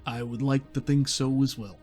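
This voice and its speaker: A man voicing a gruff, short, mechanical inquisitor officer with blunt, folksy tough-guy talk in a tabletop roleplaying game. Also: medium pitch, steady tone, moderate pace, mellow, faint music behind, lightly acted. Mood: businesslike.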